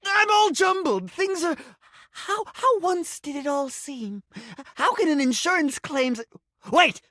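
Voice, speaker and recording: A man's voice: extremely high pitch, animated, takes it slowly, sharp tone, no echo, clean studio sound, exaggerated voice. A man voicing an eccentric, theatrical talking sink in a video game, florid, pompous and melodramatic in manner. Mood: frantic confusion. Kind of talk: video game character voice acting.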